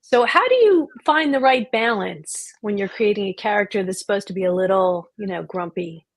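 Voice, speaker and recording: A woman's voice: mid-range voice, expressive, moderate pace, low-quality recording. A woman co-hosting a casual book chat, asking questions in an encouraging way. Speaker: co-host interviewer.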